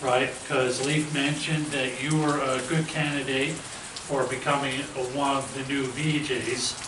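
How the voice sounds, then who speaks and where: A man's voice: mid-range voice, fairly even intonation, measured pace. A man hosting a radio show and interview in a casual, goofy, rambling way. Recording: roomy, far from mic, noisy.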